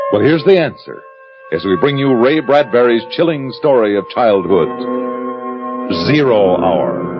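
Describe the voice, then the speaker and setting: A man acting as a grave, suspenseful narrator in mid-century radio drama style. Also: mid-range voice. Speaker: announcer and narrator. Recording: muffled old radio recording with music.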